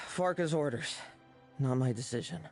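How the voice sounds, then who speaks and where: A man's voice: medium pitch, measured pace, soft background music, lightly acted. An actor playing a nonchalant, unrepentant male villain scheming in a video game. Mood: nonchalant, sinister.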